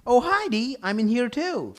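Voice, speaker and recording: A man's voice: extremely high pitch, very animated, normal speed. Man playing a smug, taunting guy, swooping intonation. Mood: mocking. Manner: taunting, sneering. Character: smug, mocking, sexist male bowling rival. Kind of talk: live comedy table read.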